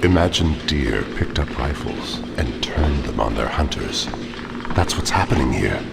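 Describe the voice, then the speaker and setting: An actor playing a menacing old crime-family patriarch in a video game, grandiose and commanding, with a deep gravelly voice. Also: male voice, very animated, over loud music, full character voice. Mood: ominous. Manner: slow, grandiose pronouncements. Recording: long reverb over rumbling machine ambience.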